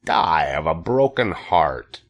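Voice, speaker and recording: A man's voice: deep voice, big pitch swings, a little slow, lo-fi sound, heavily acted. A man voicing a lazy, self-pitying old storybook rat with a growling, sarcastic, complaining manner. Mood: mocking, self-pitying.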